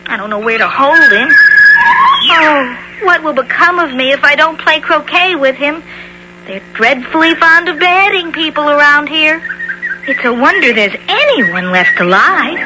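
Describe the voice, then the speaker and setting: A woman's voice: high voice, lively intonation, normal speed. An actress playing an earnest, polite, easily exasperated young girl in an old-time radio drama, exclaiming and wide-eyed. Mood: anxious. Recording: noisy old radio broadcast.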